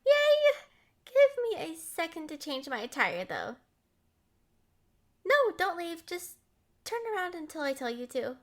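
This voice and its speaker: A young woman acting a playful, headstrong, lovestruck princess in an expressive roleplay audio. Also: very high-pitched, a little slow, pauses often, exaggerated voice. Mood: playful, eager. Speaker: voice actor.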